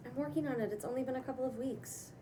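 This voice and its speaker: A woman acts out a self-pitying, defensive female writer in a comedy-drama table read. Her voice is fairly high and conversational. It is recorded at some distance from the mic, with faint room noise. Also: fast, dark tone, lightly acted. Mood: sheepish, defensive. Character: Janie, mopey, defensive broke female writer, freshly dumped. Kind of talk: casual cold read of comedy-drama script.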